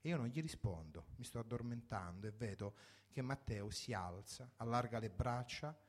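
A man's voice: mid-range voice, expressive, normal speed. A man reading a first-person story for radio in a reflective, wry manner, in short sentences. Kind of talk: dramatic literary reading for radio.

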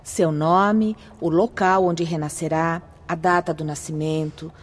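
A woman's voice: deep voice, fairly even intonation. A woman with a Brazilian accent playing a serene, courteous spirit sister in a radio drama, speaking formally. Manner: measured, formal.

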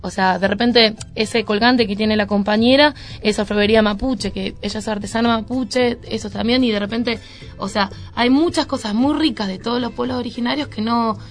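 A woman with a Buenos Aires-area Argentine accent chatting in a radio interview in a rambling, colloquial way full of little tag words. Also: medium pitch. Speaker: band member, preschool teacher. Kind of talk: casual radio interview chat. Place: radio studio.

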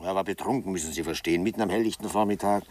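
An actor playing an unruffled, gossipy local bystander who chats and explains in a 1950s German radio drama. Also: male voice, sits low, racing, a little mellow, no room sound. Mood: knowing, gossipy. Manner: chatty, explaining. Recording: slightly distant, dry 1950s radio recording.

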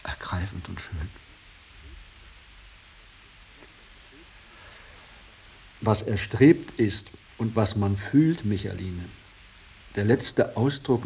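An actor playing an embittered, idealistic painter in a radio drama, speaking solemnly and rhapsodically, moving between hushed reverence and outbursts. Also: male voice, on the low side, gravelly, a little hiss or hum. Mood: earnest, awed. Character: Lachmann, embittered, idealistic male painter revering his old master. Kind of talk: 1980s German radio drama acting.

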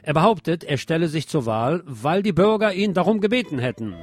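A man's voice: a little high, lively intonation, fairly quick, faint music behind. A man presenting scripted radio news commentary, with opinionated, wry asides.

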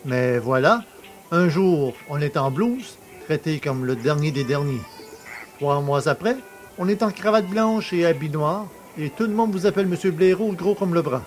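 An actor playing a cheeky, boastful poacher fresh from prison in an audio drama, familiar, mocking and self-pitying. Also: male voice, on the high side, animated, moderate pace, soft background music, lightly acted. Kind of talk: French audio drama acting.

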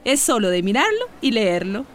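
A woman playing a doctor's observant, gently teasing helper in a radio drama, conversational and inquisitive. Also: fairly high pitch, big pitch swings, normal speed. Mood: curious, concerned.

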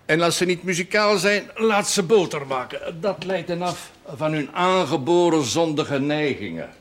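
A man in his sixties playing a stern, erudite village pastor in a period TV drama, measured, pompous and sardonic. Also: on the high side, lively intonation, natural voice. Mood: stern, dry. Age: 60s. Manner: pompous, measured, sententious, slipping into French and Latin. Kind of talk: television period drama acting.

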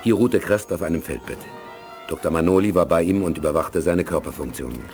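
A man's voice: low voice, fairly quick, darkish tone, faint music behind. A man narrating a science fiction radio drama in scripted storytelling style.

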